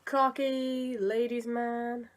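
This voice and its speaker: Woman rambling to her viewers in a fairly high voice, a bit sick, keeps fixing her own words. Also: level tone, extremely slow. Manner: chatty, rambling, self-correcting. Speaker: video creator and comic dub reader. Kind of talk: casual commentary vlog.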